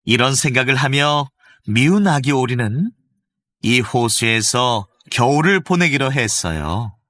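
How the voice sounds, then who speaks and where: A male voice actor narrating a children's fairy tale with gentle, expressive storytelling, recorded close in a studio. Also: medium pitch, measured pace, no echo.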